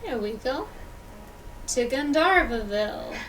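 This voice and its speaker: A woman's voice: high-pitched, animated, takes it slowly, a bit bright. A woman streamer giving casual live game commentary and reading text aloud. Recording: distant mic with background noise.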